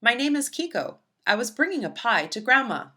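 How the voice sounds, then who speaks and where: An eager little storybook girl, voiced by a woman reader, earnest and brave. The voice is bright, with animated swings in pitch and simple, childlike delivery. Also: brisk, full character voice. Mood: timid but brave.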